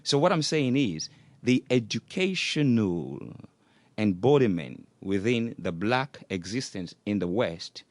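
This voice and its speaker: Low-voiced man arguing on radio. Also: big pitch swings, measured pace, darkish tone, no echo. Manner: earnest, argumentative, repeats "what I'm saying is". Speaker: radio talk show co-host. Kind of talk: radio talk show discussion.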